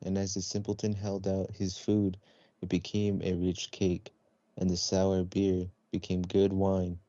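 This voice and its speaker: A man reading a children's story aloud in a somewhat halting way. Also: low-pitched, completely flat delivery, warm tone, no room sound. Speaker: storybook reader. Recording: dull, lo-fi close recording.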